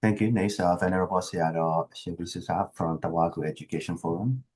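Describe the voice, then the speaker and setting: A man's voice: low voice, a little fast, a little mellow, husky. A man moderating an online panel, calling on speakers briefly and plainly. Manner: brief and procedural. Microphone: video call.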